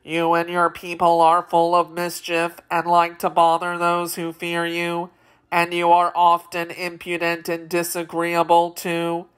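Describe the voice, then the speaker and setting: A woman voicing a stern, unemotional male machine man in a children's storybook, deliberate and matter-of-fact. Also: on the low side, monotone, clean tone, close to an everyday voice.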